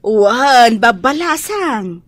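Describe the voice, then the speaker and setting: A kindly old fish vendor. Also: female voice, on the high side, animated, drawn-out words, no room sound, heavily acted. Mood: grateful. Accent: Ilocano. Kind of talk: radio drama acting.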